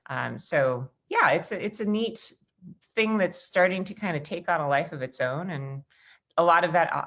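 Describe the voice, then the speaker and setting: A woman's voice: very deep voice, a bit bright. An American woman in her forties, host of a casual video chat, telling rambling stories with lots of fillers. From United States. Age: 40s. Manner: chatty, rambling storyteller with frequent fillers. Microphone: video call.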